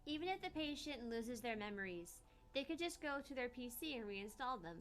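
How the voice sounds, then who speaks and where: A woman voicing an earnest young female scientist who explains research in a measured way, read from a visual novel. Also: on the high side, big pitch swings, a bit bright. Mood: earnest, explanatory. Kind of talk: reading visual novel dialogue aloud.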